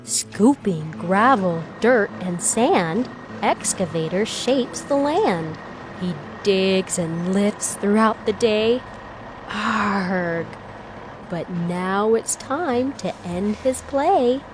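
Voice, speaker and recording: A woman reading a children's bedtime story aloud, expressively, with gentle, drawn-out phrasing and acted emphasis. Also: mid-range voice, animated, noisy background. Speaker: storybook reader.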